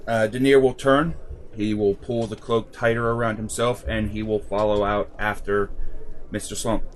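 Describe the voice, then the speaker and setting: A man playing in a tabletop game, narrating and speaking for his character politely and in a measured way. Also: fairly low pitch, flat delivery, slight background noise. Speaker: player on a tabletop game podcast.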